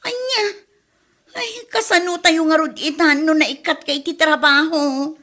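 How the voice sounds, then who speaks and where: A vain Ilocano wife in a radio comedy drama. Her voice sits high with a bright, sharp edge. She talks in an expressive, gossipy way with a whiny streak. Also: unhurried. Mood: indignant. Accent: Ilocano Filipino. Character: vain, nagging wife who loves buying beauty products.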